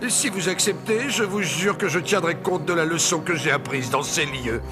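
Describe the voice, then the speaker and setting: An actor playing a fearful, repentant old miser with a creaky voice in a dramatic cartoon dub. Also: male voice, fairly high pitch, moderate pace, natural voice. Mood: pleading. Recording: music behind the voice.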